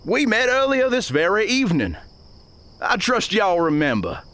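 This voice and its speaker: A man's voice: high-pitched, huge pitch swings, normal speed, a bit bright, voice pushed up, exaggerated voice. A man voicing a pompous, long-winded older banker on the frontier, with flowery and ingratiating speech.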